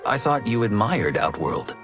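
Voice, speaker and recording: A man's voice: lowish voice, sing-song, moderate pace, over music. A man playing an earnest, level-headed young warrior in a video game, speaking with sincere, principled delivery. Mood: questioning. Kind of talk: video game cutscene acting.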